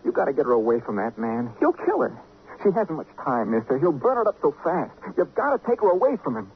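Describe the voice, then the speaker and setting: An American actor playing an edgy, wounded cabinetmaker in a radio drama, earnest, halting and volatile. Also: male voice, a little high, huge pitch swings. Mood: desperate pleading. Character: edgy, wounded working-class male cabinetmaker, protective brother. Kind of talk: 1950s radio drama acting. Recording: muffled old radio recording with hiss.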